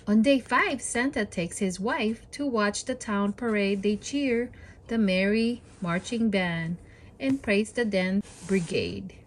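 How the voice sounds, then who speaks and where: A woman reading aloud to young children as a storybook host, in an expressive, deliberate and encouraging way. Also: mid-range voice, slight background noise.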